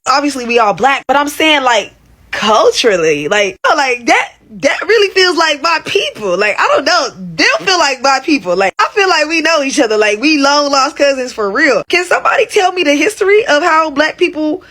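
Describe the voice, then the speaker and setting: A woman's voice: sits high, big pitch swings, moderate pace, sharp tone. A woman from the United States, chatting casually and animatedly into her phone with lots of filler likes. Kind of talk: casual social media clip.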